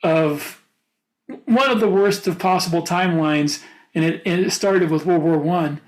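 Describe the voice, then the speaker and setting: A man's voice: a little high, measured pace, rough recording. Matter-of-fact man in casual discussion. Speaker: podcast co-host, writer.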